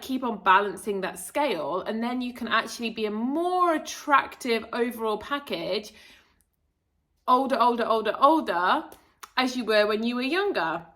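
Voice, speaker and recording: A woman's voice: a little high, animated, moderate pace, bright, forceful tone. A woman hosting her own channel, talking casually and earnestly to camera about spiritual self-improvement, rambling a little with fillers and self-corrections. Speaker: channel host.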